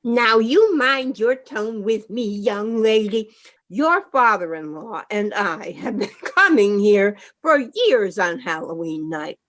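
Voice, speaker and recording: A woman's voice: mid-range voice, expressive, takes it slowly, a bit bright. A woman playing a stern old grandmother. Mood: firm. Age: older. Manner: firm, scolding. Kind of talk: amateur radio play acting.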